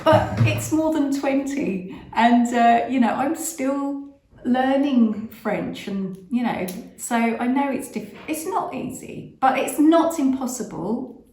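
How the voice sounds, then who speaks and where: A woman's voice: high voice, animated, slow pace, mellow, a little hiss or hum. A middle-aged British-born woman whose first language is English, co-hosting a casual chat vlog, rambling with restarts. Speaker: vlog co-host. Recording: echoey room.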